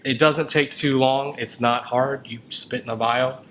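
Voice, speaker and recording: A man chats informally as a co-host, rambling a little as he goes. His voice sits at an ordinary middle pitch, with some noise behind it. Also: fairly even intonation, measured pace, a bit bright. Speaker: show co-host. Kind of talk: casual chat. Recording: noticeable background noise.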